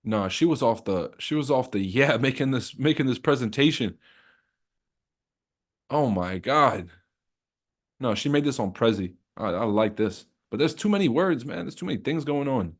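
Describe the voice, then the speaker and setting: Young male streamer, casual joking host, swears freely, mid pitch. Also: animated, normal speed. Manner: loose, joking, swears freely, talks to chat. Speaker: trading livestream host. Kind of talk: casual livestream chat.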